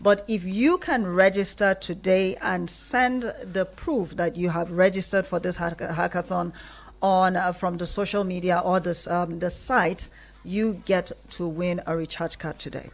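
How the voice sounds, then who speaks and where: A woman's voice: on the low side, steady tone, unhurried. A woman with a Nigerian accent hosting a live radio programme from a studio, talking to guests and listeners. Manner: affirming interjections while guests talk. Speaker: radio host.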